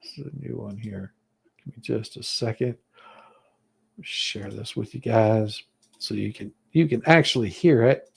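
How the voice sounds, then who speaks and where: Gravelly, husky man chatting on a livestream. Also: lowish voice, unhurried, long pauses. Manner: rambling, hesitant. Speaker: livestream host. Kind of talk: casual livestream chat.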